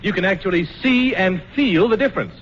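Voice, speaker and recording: A man's voice: high-pitched, fairly quick. A man announcing on old radio in a polished, hearty manner, reading scripted copy. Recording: muffled, faintly noisy old radio broadcast.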